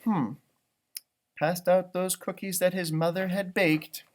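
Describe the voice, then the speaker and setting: A man reads a children's storybook aloud in an animated, teacherly way. He slips into casual, encouraging asides and stretches his words for young listeners. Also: high voice, takes it slowly.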